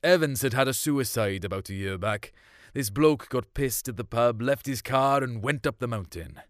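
A man's voice: mid-range voice, normal speed, no echo. Man reading a story, close and dry. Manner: colloquial, confiding storytelling. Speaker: short story reader. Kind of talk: dramatic first-person story narration in colloquial voice.

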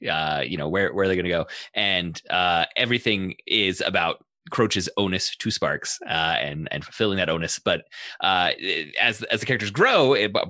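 A man's voice: deep voice, sharp tone, no echo, studio quality. A man hosting a podcast discussion, asking rambling, discursive questions in a conversational way. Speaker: podcast host. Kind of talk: conversational interviewing.

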